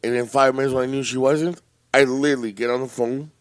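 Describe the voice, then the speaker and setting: A man's voice: medium pitch, steady tone, quick pace, no room sound. A young man co-hosting a podcast, blunt and boastful, swearing freely in casual banter. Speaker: podcast co-host.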